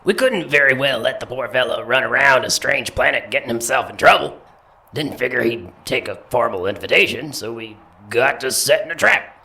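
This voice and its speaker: A man's voice: medium pitch, lively intonation, normal speed, vocal fry, pushed and bright. A man playing an easygoing, folksy drifter in an audio drama, rambling along. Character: folksy, easygoing drifter and diner odd-jobber.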